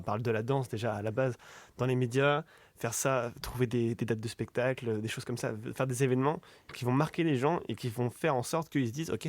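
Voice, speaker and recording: A man's voice: mid-range voice, dry recording. Eager young-sounding male show host. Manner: enthusiastic, halting with fillers. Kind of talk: casual chat.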